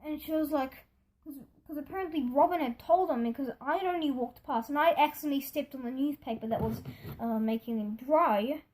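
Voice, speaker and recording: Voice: high-pitched, fairly quick, darkish tone, poor audio. A young person telling stories in a casual vlog style, rambling and indignant, acting out what other people said. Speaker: video storyteller. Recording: music behind the voice. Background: music.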